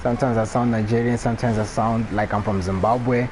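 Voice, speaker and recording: A man's voice: mid-range voice, fairly even intonation, fairly quick, over music. A man from Botswana with a Botswana English accent, chatting casually and laughing often while live-streaming a game. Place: home room during a rainstorm. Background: faint rain and ambient noise.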